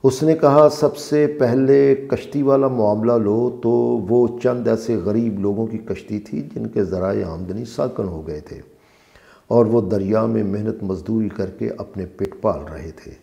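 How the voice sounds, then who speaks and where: Male narrator for scripture translation: dark, soft-edged tone with noticeable fry, deliberate and emphatic phrasing. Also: lowish voice. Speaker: translator and reciter of a Quran translation. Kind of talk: scripted religious narration, read aloud.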